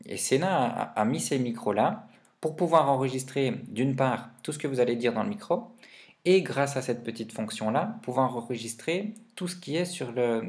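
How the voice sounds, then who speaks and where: A man speaks at an average pitch in the relaxed register of a vlogger reviewing gear. He explains things step by step in informal, slangy wording. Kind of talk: casual gear review vlog.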